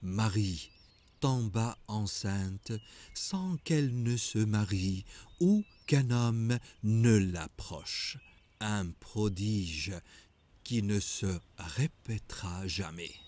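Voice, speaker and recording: Slow, mellow male narrator. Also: lowish voice, animated. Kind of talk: scripted storytelling narration for an animated religious tale.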